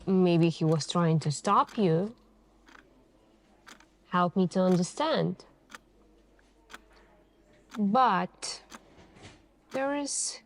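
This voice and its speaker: A woman doing an ASMR roleplay as a suspicious female detective, questioning in a deliberate, pointed way with many pauses. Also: mid-range voice. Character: calm, suspicious, probing female police detective. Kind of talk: ASMR interrogation roleplay, slow deliberate delivery.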